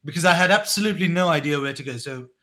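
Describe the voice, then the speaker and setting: A man in his thirties, born in Pakistan and raised in England, calmly recounting his past as a guest on an interview show, with frequent restarts. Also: a little high. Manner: reflective, measured, with many restarts. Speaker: guest, education company co-founder. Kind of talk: storytelling in a podcast interview.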